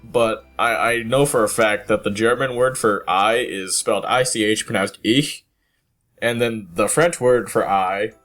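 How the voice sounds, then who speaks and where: A man talking casually in a relaxed group chat, rambling and hesitant as he tells a story. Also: lowish voice, measured pace, soft background music. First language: English. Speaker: podcast guest.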